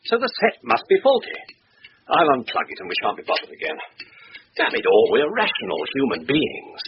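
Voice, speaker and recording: An actor playing a rational, dismissive middle-aged English husband in a brisk, emphatic old-time British radio drama style. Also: male voice, mid-range voice, huge pitch swings, gravelly. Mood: exasperated, insistent. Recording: muffled, hissy old radio recording.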